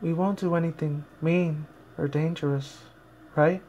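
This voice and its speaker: A man voicing a shy, gentle cartoon pegasus pony girl, speaking softly and hesitantly in a story reading. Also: on the high side, fairly even intonation, measured pace, lo-fi sound, a little hiss or hum, close to an everyday voice. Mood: timid, worried. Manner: hesitant, soft, meek.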